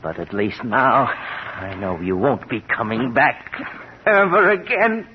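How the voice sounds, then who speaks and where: An American actor playing a guilty, nervous murderous son-in-law, halting and melodramatic, in a 1950s radio thriller. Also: male voice, medium pitch, animated. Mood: agitated, brooding. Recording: muffled, hissy vintage radio recording.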